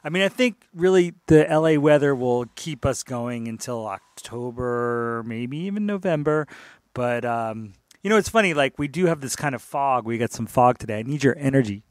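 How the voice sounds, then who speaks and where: A man's voice: medium pitch, animated, normal speed, no echo. Dry-sounding man hosting casual music radio. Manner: loose, playful, repeats words. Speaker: radio DJ host.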